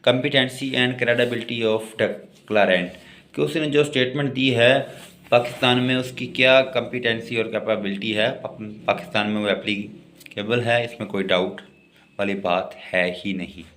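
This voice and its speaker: A man with a Pakistani accent giving a law lecture, reading notes aloud haltingly, word by word, and explaining them. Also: medium pitch, fairly even intonation, a bit bright, a little hiss or hum. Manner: slow, halting word-by-word when reading, plainer explanations between.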